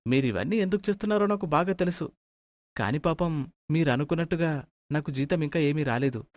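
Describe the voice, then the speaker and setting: Man acting a fast-talking exasperated son, dry sound. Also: on the high side, no room sound, close to an everyday voice. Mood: suspicious. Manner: indignant, emphatic. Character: exasperated, suspicious working son and husband. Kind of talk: animated moral story character acting.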